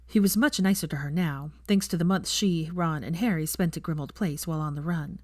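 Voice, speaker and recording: A woman narrates a story from a script. Her voice sits a little low and the recording is dry and close, with very little room sound. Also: brisk, darkish tone, dry recording. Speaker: narrator of fan fiction readings.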